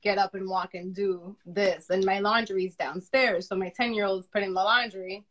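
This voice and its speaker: A woman, young to middle-aged, with a loud voice, chats casually and candidly as an interview guest, repeating phrases for emphasis. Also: mid-range voice, fairly even intonation, normal speed, poor audio. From Dominican upbringing. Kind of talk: casual personal conversation. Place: remote live video chat.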